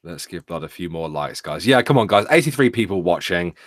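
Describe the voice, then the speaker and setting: A man co-hosting a stream who jokes and reads the chat aloud. His voice sits a little low and sounds close and dry. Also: very animated, brisk, dry recording. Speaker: stream co-host.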